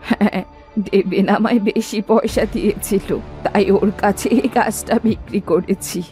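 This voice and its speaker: A woman's voice: mid-range voice, steady tone, normal speed, warm tone, lightly acted. A woman voicing a poor, stubborn, money-minded old village woman in a cartoon moral story. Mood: defiant. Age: older. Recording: music behind the voice.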